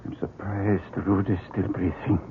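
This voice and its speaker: A man's voice: sits low, level tone, unhurried. A man playing a discreet, sober medical colleague in an old-time radio drama, hesitant and deferential. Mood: somber. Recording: very noisy, phone-like old radio recording.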